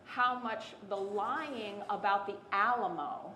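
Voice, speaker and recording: A woman panelist telling a family story in an animated way, repeating words for emphasis. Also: mid-range voice, slow pace, roomy sound, a little hiss or hum.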